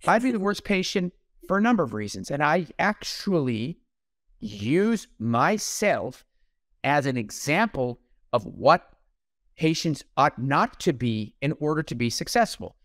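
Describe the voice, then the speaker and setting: A man's voice: on the high side, very animated, measured pace, fairly bright tone, no room sound, studio quality. A middle-aged man, a plastic surgeon, talking bluntly and jokingly on a video call. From Iran. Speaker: plastic surgeon, podcast host. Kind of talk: casual interview chat. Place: home room on a video call.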